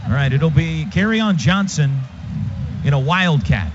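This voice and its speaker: Male American play-by-play announcer, fairly high voice, clipped live football calls, dull and noisy stadium broadcast sound. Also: lively intonation, measured pace. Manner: clipped phrases during play, conversational between. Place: stadium radio booth.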